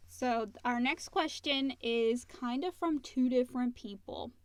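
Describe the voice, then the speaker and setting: A woman's voice: sits high, a little slow, clean tone, no room sound. Woman co-hosting a casual podcast, dry sound. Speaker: podcast co-host reading listener questions. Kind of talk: casual chat.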